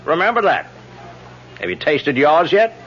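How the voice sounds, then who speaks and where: An old, cynical politician who sounds folksy and chuckles often. His voice sits fairly high and swings widely in pitch. The broadcast sound is dull and vintage. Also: very animated, unhurried, a bit bright. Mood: wistful. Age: older. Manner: folksy, chuckling, world-weary. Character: aging male congressman, charming, cynical, self-mocking. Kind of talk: 1970s radio drama acting.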